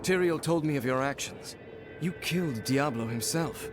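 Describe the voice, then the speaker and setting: A man's voice: fairly high pitch, moderate pace, music in the background, natural voice. A man playing an earnest, idealistic scholar of an ancient order in a video game, reflective and proud. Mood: awed. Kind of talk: video game cutscene acting.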